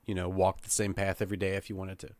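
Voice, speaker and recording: A man joins a casual podcast discussion. His voice sits fairly low, and the recording is dry, with hardly any room sound. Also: completely flat delivery, very fast, a little mellow, no echo. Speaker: podcast participant. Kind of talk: casual chat.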